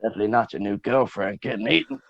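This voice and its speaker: A man's voice: on the low side, racing, vocal fry, fairly bright tone, no echo, lo-fi sound. A man playing a gung-ho, exasperated army general, blunt and sardonic, in a B-movie parody radio comedy.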